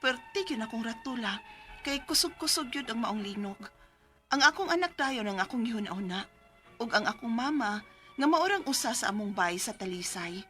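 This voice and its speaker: Single mother of thirty, Cebuano accent, high-pitched, very animated intonation, lively and caring, radio drama. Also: normal speed, soft background music. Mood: earnest narration. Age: 30s. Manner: expressive, animated. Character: 30-year-old single mother, lively, caring, worried about her child. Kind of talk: Cebuano radio drama, letter narration and dialogue.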